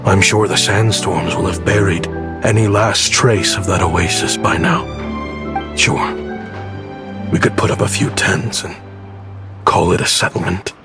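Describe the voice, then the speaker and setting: A man's voice: deep voice, fairly even intonation, measured pace, pauses often. A man voice acting a weary, remorseful uncle from the desert in a video game, humble and hesitant. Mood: doubtful. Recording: music behind the voice.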